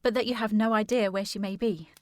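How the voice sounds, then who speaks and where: A woman's voice: medium pitch, steady tone, quick pace, darkish tone, no room sound, studio quality, close to an everyday voice. An actress playing a polite, earnest and determined young lady in a period audio drama, with formal speech. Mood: firm, determined. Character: distressed, polite, determined young lady searching for her sister.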